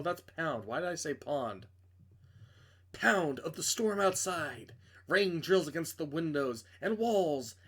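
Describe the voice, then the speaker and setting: A man's voice: fairly high pitch, a bit bright. A man reading a visual novel aloud as a streamer, narrating dramatically and breaking off into casual, joking asides to correct himself.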